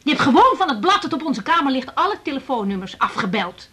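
A woman's voice: high voice, very animated, sharp tone, exaggerated voice. An actress playing a guarded, defiant young woman doctor in a radio drama. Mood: indignant. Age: young adult. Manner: evasive, curt, quick to retort. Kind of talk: 1980s Dutch radio drama acting.

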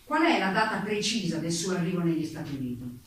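A woman's voice: fairly low pitch, big pitch swings, fast talker. A woman playing a committee's chief investigator in a staged reading, questioning in a formal, clipped, official way. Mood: official, probing. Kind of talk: staged dramatic reading of interrogation. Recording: distant mic, very echoey room, faint noise.

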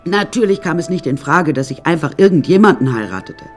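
A flamboyant woman telling her own story in a radio play. She is chatty and wry, full of theatrical asides, and her pitch swings in lively fashion. Music plays underneath her. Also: fairly quick. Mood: gossipy, amused. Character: flamboyant, unsinkable woman recounting her many husbands. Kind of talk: radio play first-person narration.

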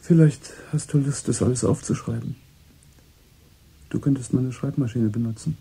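An actor playing a calm confidant who puts quiet, probing questions, in a German radio drama. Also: male voice, medium pitch, normal speed, vocal fry, slightly rough.

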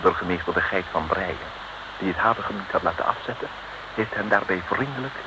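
Serial storyteller, slightly low voice, florid mock-solemn narration, heavy noise of an old radio recording. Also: male voice, brisk. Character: mock-dramatic male storyteller of an adventure serial. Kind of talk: scripted narration, 1950s-60s radio serial.

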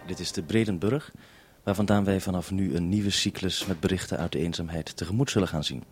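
A man's voice: low-pitched, brisk, over music. A man with a Dutch accent presenting a radio report and two-way interview, with a wry, dryly ironic way of talking. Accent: Dutch, from the Netherlands.

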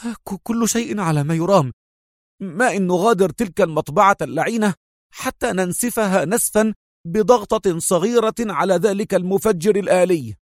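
A man voicing an excitable, anxious male comrade in an adventure story, exclaiming tensely. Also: sits high, normal speed, dry recording, studio quality, pitched above the performer's own voice, close to an everyday voice. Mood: breathless, urgent.

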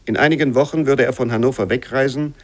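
Male narrator for literary prose: medium pitch, formal measured delivery, very dry studio-like sound. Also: steady tone, dry recording. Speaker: literary reader. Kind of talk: scripted literary narration, reading a novel aloud.

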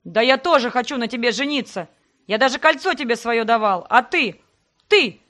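A woman's voice: fairly high pitch, expressive, normal speed, fairly bright tone. Woman doing a jealous male necromancer. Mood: heated. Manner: raised voice, pleading and reproachful.

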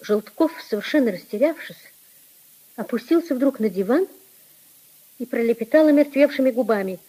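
A woman giving deliberate, expressive literary narration in a 1950s radio drama, with a husky, soft tone. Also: fairly high pitch, low-quality recording.

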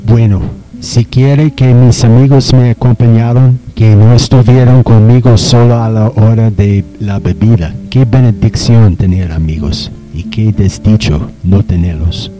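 A man's voice: lowish voice, fairly even intonation, unhurried, close to an everyday voice, rough recording. A man acting as the wistful, indignant ghost of a nineteenth-century townsman in an amateur radio drama, speaking plaintively. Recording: music behind the voice.

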